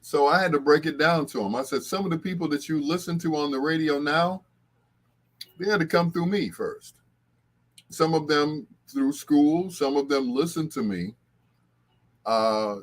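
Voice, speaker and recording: A man hosting a casual livestream, talking to viewers in a rambling, conversational way. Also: fairly high pitch. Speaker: livestream host.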